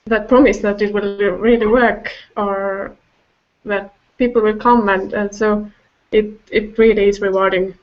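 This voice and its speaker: A woman talking casually and haltingly as a podcast guest. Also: medium pitch, fairly even intonation. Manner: halting, hesitant. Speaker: podcast guest, festival helper. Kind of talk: hesitant casual talk. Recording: dull lo-fi recording.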